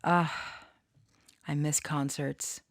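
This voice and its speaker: A woman with a low-pitched voice and plenty of fry talks loosely to her followers. She takes her time, wandering and giggly, with casual swearing. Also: fairly even intonation, drawn-out words, no echo, studio quality. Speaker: online storyteller and channeler. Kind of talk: rambling casual chat to followers.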